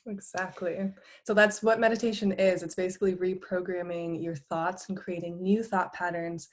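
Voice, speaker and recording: A woman's voice: lowish voice, level tone, normal speed. Upbeat woman from Florida, meditation coach. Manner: upbeat, earnest, laughs between phrases. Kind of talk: online workshop presentation.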